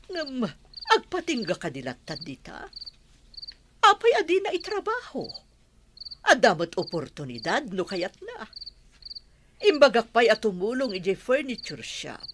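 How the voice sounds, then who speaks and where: A woman's voice: fairly high pitch, sing-song, unhurried, long pauses. A woman with a Filipino Ilocano accent playing a worried, weary older mother who runs a family business in a radio drama. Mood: worried, frustrated. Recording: noisy track with clicks and insect sounds.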